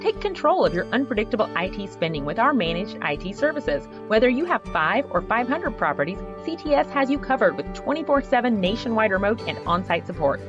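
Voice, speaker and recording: A woman reading a scripted radio commercial as a smooth corporate pitch. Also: big pitch swings, fairly quick, few pauses, highs cut off, over music. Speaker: ad announcer.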